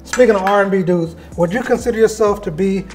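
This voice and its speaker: Higher-pitched American man hosting casually. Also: quick pace. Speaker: cooking show host and chef. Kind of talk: casual cooking demonstration and interview chat. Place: home-style kitchen, indoors. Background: music.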